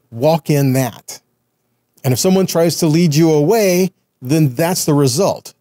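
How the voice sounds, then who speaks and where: A man's voice: on the high side, mellow, no room sound, studio quality. A middle-aged man giving conversational Bible teaching in an earnest manner, often checking in with the listener. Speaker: Messianic ministry teacher.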